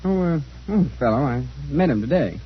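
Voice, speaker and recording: A muffled old radio recording of a sly, self-satisfied young son. His voice is husky and swings up and down, glib and needling in a radio-drama manner. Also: a little high, lively intonation, normal speed. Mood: evasive. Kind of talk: old-time radio acting.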